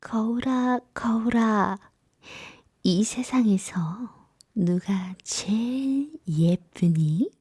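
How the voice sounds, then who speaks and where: A woman voicing a vain, wicked storybook queen with slow, drawn-out, breathy questions. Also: fairly high pitch, warm tone, full character voice. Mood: vain, coaxing. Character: vain wicked queen, a witch, questioning her magic mirror.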